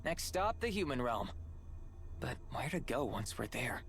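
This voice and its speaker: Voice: medium pitch, big pitch swings, unhurried, a bit bright, a little hiss or hum. An actor voicing a brash, confident young male hero in a video game, speaking casually. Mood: cocky.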